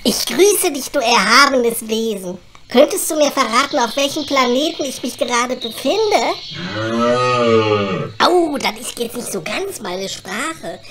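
A woman's voice: sits high, expressive, a little slow. A woman voicing a brash, feisty, grumbling elf with a blunt, colloquial manner in a comedy audio drama. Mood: determined. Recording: clearly noisy background.

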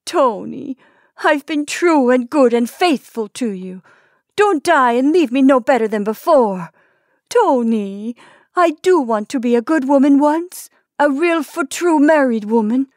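Brief: woman reader voicing a frantic, pleading wife, high and loud, begging. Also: moderate pace, no echo, studio quality, close to an everyday voice. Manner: pleading, rising to a shriek. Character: pleading desperate wife of a dying shopkeeper.

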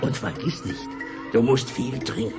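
A man playing a jovial, lecherous bull-man king in a radio drama, with a booming, leering, theatrical delivery. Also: steady tone, unhurried, close to an everyday voice. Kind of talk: German radio drama acting. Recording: music and crowd noise behind voice.

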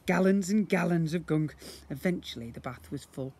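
A man in his fifties reading a story to young children in an expressive way, playing up the sound words, recorded outdoors at arm's length. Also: a little high, normal speed, slightly rough. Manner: expressive, acting out sound effects. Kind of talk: animated read-aloud storytelling for young children. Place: outdoors, beside brick houses. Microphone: phone or camera at about arm's length.

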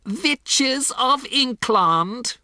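A storybook narrator voicing a furious, imperious female witch leader, shrieking with drawn-out, emphatic words. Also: medium pitch, huge pitch swings, breathy edge, no echo, clean studio sound, exaggerated voice. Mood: furious, scornful. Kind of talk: theatrical storybook character voice.

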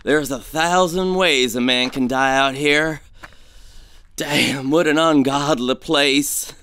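An actor playing an uneasy, superstitious male gold prospector in an audio drama, speaking in a folksy, hesitant, excitable way. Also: medium pitch, slow pace, clean tone.

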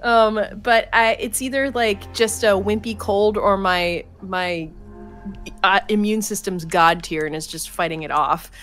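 A woman's voice: medium pitch, fairly even intonation, a little slow, a bit bright, soft background music. Chatty young woman with a slight cold. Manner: chatty, upbeat, laughs a lot, says "like" often. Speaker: streamer, co-host. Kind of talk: casual chat while gaming.

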